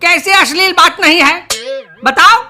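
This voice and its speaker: A woman's voice: very high-pitched, a little slow, noisy background. A woman voicing an easily exasperated, scolding male schoolteacher in a comedy cartoon. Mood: flustered, scolding. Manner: exasperated, stammering when flustered. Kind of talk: Hindi comedy cartoon dialogue.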